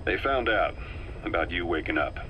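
A man acting a calm, calculating middle-aged intelligence operative in a video game, speaking in a measured, deliberate way. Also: sits low, highs cut off, a little hiss or hum. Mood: grave. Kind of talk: dramatic game dialogue, briefing.

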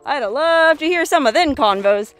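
A woman's voice: high-pitched, lively intonation, moderate pace, dry recording, faint music behind. Chatty woman exploring with a handheld phone. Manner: chatty, laughing, awed exclamations. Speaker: solo explorer vlogger. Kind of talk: casual exploring commentary. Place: inside an old mine and outdoors in a desert canyon. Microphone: handheld phone at arm's length.

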